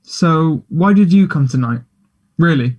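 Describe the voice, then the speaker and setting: Man playing a higher-voiced, earnest teenage boy. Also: lively intonation, measured pace, a little mellow. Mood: candid, vulnerable. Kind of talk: play table read, naturalistic dialogue.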